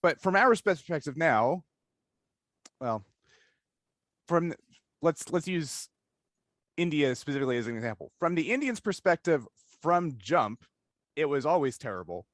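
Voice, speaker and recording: A man's voice: on the high side, sing-song, unhurried, pauses often, no room sound, clean studio sound. A man hosting a casual discussion interview, thinking aloud analytically in long stretches and often checking in with "right?". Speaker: interviewer, podcast host.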